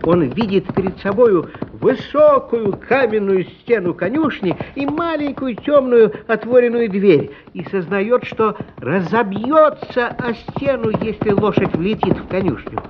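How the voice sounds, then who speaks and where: A man's voice: sits high, expressive, measured pace, hardly any pauses, lo-fi sound, background noise. A man narrating a story for a radio play in a dramatic, scripted style.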